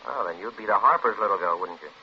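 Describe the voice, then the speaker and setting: An American newspaperman played by a man in a radio play, insistent and a little apologetic. His low voice moves expressively over a muffled, slightly hissy recording. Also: quick pace, natural voice. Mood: pushy. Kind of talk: 1950s American radio drama acting. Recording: muffled old radio broadcast, faint hiss.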